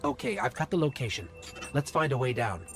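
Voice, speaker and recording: A man's voice: mid-range voice, sing-song, fairly quick, vocal fry, music in the background. An actor playing a talkative male companion guide who gives running, reassuring comments. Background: loud game score.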